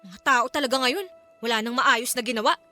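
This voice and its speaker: A woman's voice: on the high side, a little fast, over loud music, natural voice. An actress with a Filipino accent playing a jumpy, irritable young woman in a radio drama. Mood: annoyed, defiant.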